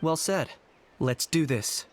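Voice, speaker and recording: A man playing a calm, level-headed young adventurer and tactician in a video game, speaking in a measured way. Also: on the high side. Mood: confident. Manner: measured, composed. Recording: loud game music behind.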